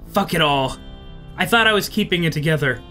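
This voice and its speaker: Male player as weary apocalypse driver in a visual novel: high, bright voice, somber, anxious, music underneath. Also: lively intonation, a little fast. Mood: anguished. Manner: somber, brooding, hesitant. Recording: music behind the voice.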